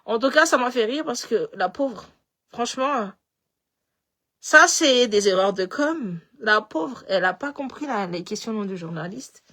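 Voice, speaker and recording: A woman with a slightly high voice and a light Malagasy accent talks loosely and with energy. She often repeats her words and restarts as her thoughts run on. The delivery is casual, like a live talk to followers. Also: a little slow. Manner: animated, repeats words, switches between languages. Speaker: political commentator.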